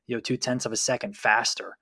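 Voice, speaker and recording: Male co-host for casual sports analysis, mid-pitched, with a dry studio-like sound free of echo. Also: flat delivery, fairly quick, pushed and bright.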